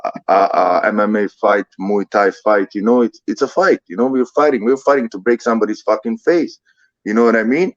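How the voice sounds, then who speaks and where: Man in his thirties, foreign accent, very low voice, dry dull sound, laid-back, swears a lot. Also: fairly quick, no room sound. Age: 30s. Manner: laid-back, swears often, repeats himself. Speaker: MMA fighter, guest. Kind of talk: casual interview. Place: Ottawa.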